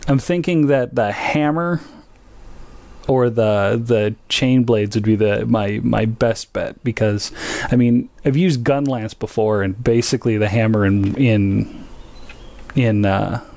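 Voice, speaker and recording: A man chatting casually and a little haltingly as he streams a video game. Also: fairly low pitch, gravelly, warm tone. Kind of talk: casual gaming livestream chat.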